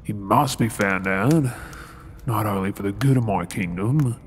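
A man's voice: lowish voice, a little slow, a little hiss or hum, lightly acted. A man with an Australian accent playing a resentful undercover soldier posing as a royal servant in an audio role-play, brooding in asides and formally deferential in address. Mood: bitter, brooding. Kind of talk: scripted ASMR audio role-play acting.

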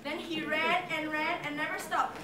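A woman's voice: high-pitched, flat delivery, normal speed, a crowd in the background. A young woman reading story narration dramatically as a student in readers theatre. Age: young adult. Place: large lecture hall, far camera in audience. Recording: echoey lecture hall from far, loud audience.

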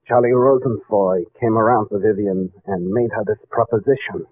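Man acting husky gangland tough, old radio. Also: on the low side, fairly even intonation, normal speed, no room sound, close to an everyday voice. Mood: tense, explaining. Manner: blunt, slangy. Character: edgy, suspicious male gangland tough guy. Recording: narrow muffled 1940s radio recording.

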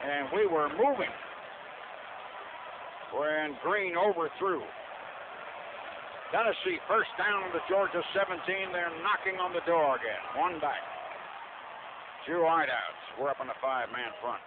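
A man's voice: fairly high pitch, unhurried, pauses often. A man giving football play-by-play as a radio announcer. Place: outdoor stadium with loud ambient noise. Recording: noisy lo-fi AM-style radio broadcast.

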